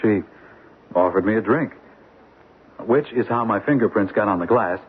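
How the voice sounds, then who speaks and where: An actor playing an impulsive, down-on-his-luck car salesman accused of murder, halting and self-deprecating in 1970s radio drama style. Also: lowish voice, lightly acted. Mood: rueful recounting. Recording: muffled, noisy 1970s radio broadcast recording.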